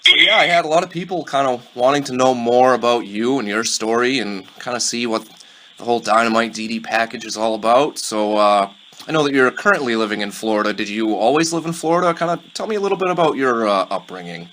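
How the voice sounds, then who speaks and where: A man hosting a podcast interview, relaxed and chatty, with many fillers and quick laughs. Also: mid-range voice, a little hiss or hum. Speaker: podcast host. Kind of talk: casual interview.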